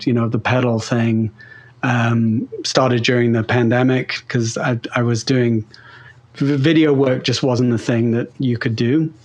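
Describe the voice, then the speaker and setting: A man with a mixed British and Australian-sounding accent, a guest talking casually in an interview, rambling with many fillers and restarts. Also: medium pitch. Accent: neutral British-Australian blend. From England. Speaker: guest pedal builder.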